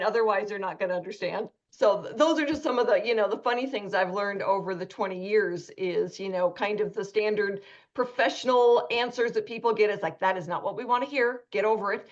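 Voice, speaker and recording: A woman hosts a radio talk show, chatting through stories and acting out quoted lines. Her voice is mid-pitched. The audio is slightly dull and lo-fi. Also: brisk.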